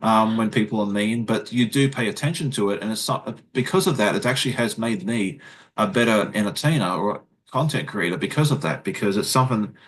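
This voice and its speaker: An Australian man, a guest, talks quickly in a casual livestream chat with lots of fillers and restarts. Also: lowish voice, husky. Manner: fast-talking, chatty, many fillers and restarts. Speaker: guest content creator.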